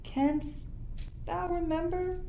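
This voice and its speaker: A woman playing a commanding, motherly sorceress in a Shakespeare radio play, with measured, solemn verse. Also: very high-pitched, flat delivery, vocal fry, warm tone, slight background noise, heavily acted. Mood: grave, tender.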